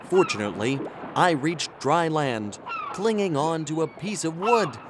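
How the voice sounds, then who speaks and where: An actor playing an earnest, polite traveler who tells his adventure in an expressive children's story style. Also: fairly high pitch, a little slow, noisy background. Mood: earnest storytelling. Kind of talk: scripted children's story narration in character. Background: music.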